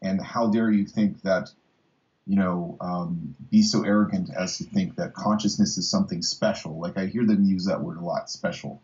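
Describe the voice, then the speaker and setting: Halting low-voiced man on lo-fi audio. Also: fairly even intonation, darkish tone. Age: 30s. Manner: halting, searching for words. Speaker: interviewer. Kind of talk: casual philosophical conversation.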